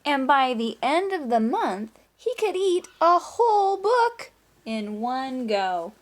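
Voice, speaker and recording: Lady teacher reading a kids story out loud, highish voice, super animated, chats and asks questions between lines. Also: takes it slowly. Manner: chatty asides, questions to young listeners. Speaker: teacher reading aloud to class. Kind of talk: animated children's story read-aloud.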